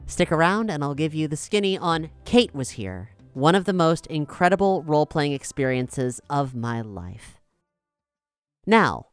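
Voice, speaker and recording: Smooth, low-voiced woman podcast host. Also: no echo, studio quality. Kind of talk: scripted podcast announcement.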